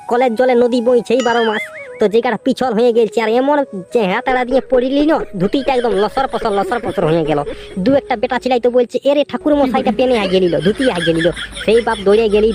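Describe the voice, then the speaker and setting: A woman plays a henpecked, grumbling village priest from a comic cartoon. The voice is fairly high and races along, repeating phrases in a rustic Purulia Bengali accent over faint music. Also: close to an everyday voice. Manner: rambling, exasperated, repeats phrases.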